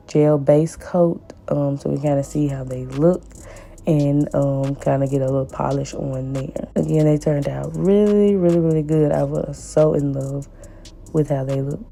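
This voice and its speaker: Hoarse, raspy low-voiced woman. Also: fairly even intonation, a little slow. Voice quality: raspy and deep. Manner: repeats words for emphasis, warm pleading asides. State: hoarse from the flu. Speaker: nail tech vlogger. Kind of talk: casual voice-over tutorial.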